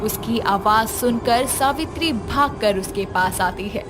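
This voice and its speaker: A woman's voice: fairly high pitch, measured pace. A woman narrating a scripted horror story as a storyteller, with music behind her.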